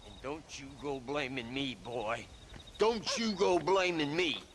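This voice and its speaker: An actor playing a cantankerous, self-excusing old drunkard in a Western video game, gravelly and defensive, with a rural American accent. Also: male voice, fairly high pitch, expressive, measured pace, crowd noise. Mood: defensive, whiny. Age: older. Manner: defensive, grumbling. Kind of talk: dramatic video game acting.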